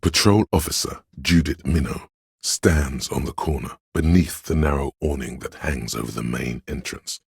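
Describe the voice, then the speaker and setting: Very deep gravelly male narrator. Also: moderate pace, no echo, studio quality. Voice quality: gravelly, husky. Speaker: voice actor narrating a video game. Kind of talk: scripted dramatic narration.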